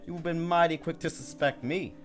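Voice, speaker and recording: An actor in a radio play voicing a sneering, suspicious criminal accomplice with a sardonic manner. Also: male voice, fairly high pitch, steady tone, normal speed, soft background music, lightly acted. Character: sneering suspicious crook accomplice, needling his partner.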